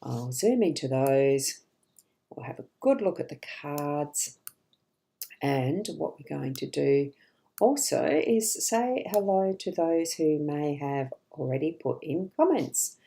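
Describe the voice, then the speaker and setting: A middle-aged woman with a rough morning voice, chatting casually as she hosts a live card-reading stream and greets viewers. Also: low-pitched, expressive, takes it slowly, dark tone. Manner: chatty, greets viewers by name, frequent ums and restarts. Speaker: psychic card reader hosting a live stream.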